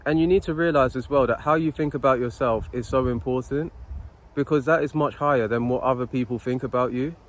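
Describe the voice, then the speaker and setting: A man's voice: mid-range voice, fairly quick. A young man talking to his phone camera on a city street, giving unscripted self-help advice in an earnest, repetitive, preachy way. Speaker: motivational vlogger.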